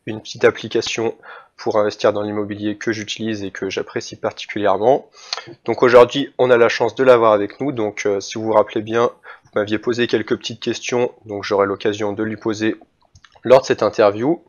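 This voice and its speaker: A man with a lowish voice hosts an interview in a casual, chatty way, leaning on loose fillers. The recording sounds dull and lo-fi. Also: little pitch movement, fairly quick. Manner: chatty, informal fillers like "bah" and "quoi". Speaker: host.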